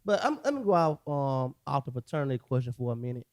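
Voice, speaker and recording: A man's voice: mid-range voice, big pitch swings, quick pace, a little mellow. A man hosting a casual conversation among friends, asking pointed questions and repeating himself for emphasis. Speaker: podcast host. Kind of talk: casual chat.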